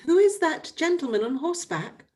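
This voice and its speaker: A woman reading an audiobook, voicing a clever, lively young gentlewoman who speaks in an earnest, pressing way. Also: high-pitched, normal speed, voice pushed up. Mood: curious.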